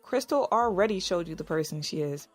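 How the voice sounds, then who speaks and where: A woman acting a sharp-tongued, jealous but caring lover in naturalistic audio drama dialogue. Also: fairly low pitch, animated, brisk. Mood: skeptical, jealous. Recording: music behind the voice.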